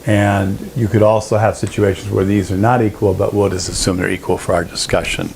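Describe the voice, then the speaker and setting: A man presenting an informal technical lesson, explaining step by step in a halting, explanatory way. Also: on the low side, level tone, a little mellow, a little hiss or hum. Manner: explanatory, halting, with frequent throat clearing. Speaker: presenter of an audio engineering lesson.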